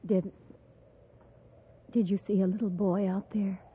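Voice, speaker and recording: An actress playing a stoic, grieving frontier mother in her thirties in a 1950s radio drama, solemn and halting, with a breathy edge. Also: medium pitch, little pitch movement. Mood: grief-stricken. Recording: muffled vintage 1950s radio recording.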